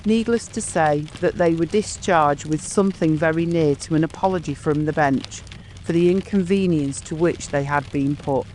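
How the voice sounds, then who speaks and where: A man reading scripted literary narration as an audiobook storyteller in a measured manner. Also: a little high, poor audio. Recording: clear background ambience noise.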